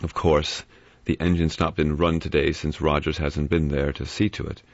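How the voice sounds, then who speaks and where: A man's voice: very deep voice, flat delivery, moderate pace, no room sound. A man voicing a hesitant, practical man. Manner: hesitant, matter-of-fact. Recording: slightly muffled dry recording.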